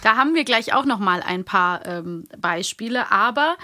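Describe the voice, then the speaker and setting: Bright-voiced young woman chatting casually. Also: medium pitch, lively intonation, moderate pace, no echo, clean studio sound. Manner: amused, enthusiastic. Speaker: podcast co-host. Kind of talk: casual chat.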